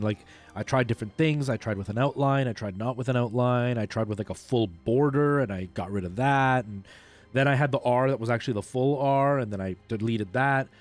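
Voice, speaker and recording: A man chatting casually and a bit haltingly while he streams a game, thinking aloud in an informal way. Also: mid-range voice. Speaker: live streamer. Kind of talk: casual chat while gaming. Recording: music playing behind the voice.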